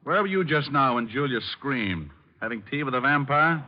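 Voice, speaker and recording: An American actor playing a wisecracking, unflappable private detective in a wry, glib radio drama style. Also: male voice, medium pitch, animated, normal speed, close to an everyday voice. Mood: cool, wisecracking. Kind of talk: 1940s radio acting with first-person narration. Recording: muffled old radio recording, music behind.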